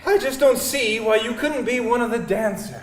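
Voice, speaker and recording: An actor playing an exasperated, indignant man overrun by unwanted guests, ranting in a live comedic table read. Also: extremely high pitch. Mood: weary disbelief. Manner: ranting, indignant, drawn-out emphasis. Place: live stage reading before an audience. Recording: echoey live hall with audience noise.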